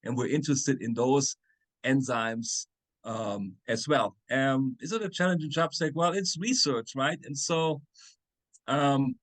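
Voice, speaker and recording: A man's voice: medium pitch, unhurried, a bit bright, poor audio. Man explaining on a call. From Germany. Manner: explanatory, frequent "right?" and "uh". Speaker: chemistry professor, guest. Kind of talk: webinar interview answers.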